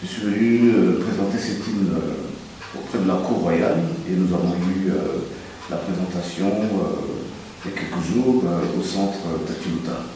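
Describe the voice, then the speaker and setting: A Congolese-born music producer answering interview questions in a hesitant way, with many fillers and restarts. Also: male voice, sits low, a little slow, dark tone, slight background noise. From Republic of the Congo. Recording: echoey room, far from the mic.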